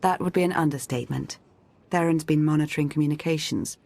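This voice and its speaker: A senior intelligence ally in a science-fiction game. Her voice is very low for a woman. She is composed, formal and articulate. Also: quick pace, a little mellow. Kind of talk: video game voice acting.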